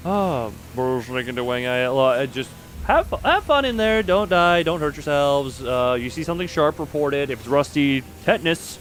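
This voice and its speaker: A man's voice: on the high side, expressive, moderate pace, hardly any pauses, a bit bright, slight background noise. A man commentating live on a game stream, chatting with his viewers as he plays.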